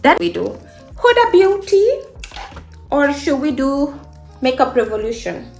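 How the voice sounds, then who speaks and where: A woman in her late twenties with a fairly high voice chats casually as a beauty vlogger. She is upbeat and emphatic, often circling back to repeat an encouraging phrase. Music plays behind her. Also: expressive, moderate pace, creaky. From Guinea. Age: late 20s. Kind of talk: casual get-ready-with-me chat.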